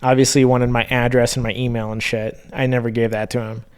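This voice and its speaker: A man's voice: medium pitch, flat delivery, fast talker, smooth. A man co-hosting a podcast, telling stories in a casual, informal way with some mild swearing. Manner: informal, mildly profane. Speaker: podcast co-host. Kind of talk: casual chat, storytelling.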